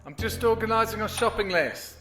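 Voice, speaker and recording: A man's voice: high voice, brisk, a bit bright, faint music behind. A man acting as an uneasy, self-doubting boyfriend in an audio drama, protesting in a hesitant, defensive way. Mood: evasive.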